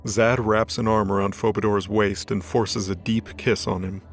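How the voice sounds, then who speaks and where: A man's voice: on the low side, little pitch movement, moderate pace. A man reading scripted fiction as the narrator of a story. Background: background music.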